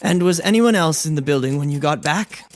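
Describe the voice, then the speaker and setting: A slick courtroom prosecutor played by a man, formal and accusing. His voice sits a little high, and he questions in a scripted comedy style. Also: lively intonation, brisk, lightly acted. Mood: probing. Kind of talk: scripted audio comedy acting.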